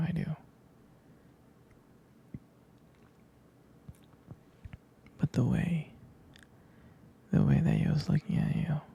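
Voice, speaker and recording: A young man playing a tender, jealous, protective boyfriend in an ASMR roleplay, speaking in a hesitant, intimate, breathy whisper. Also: fairly high pitch, dark tone, exaggerated voice. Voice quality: breathy, husky, gravelly whisper.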